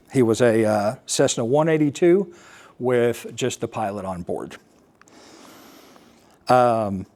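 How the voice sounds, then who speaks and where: An American man giving a personal talk, recounting his experience as an air traffic controller in a halting, explanatory way with pauses. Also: mid-range voice, a little mellow. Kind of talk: personal storytelling talk to an assembly.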